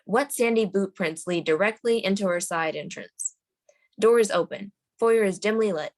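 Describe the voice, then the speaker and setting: A woman's voice: mid-range voice, moderate pace, a little mellow. A woman narrator reading screenplay stage directions aloud at a table read.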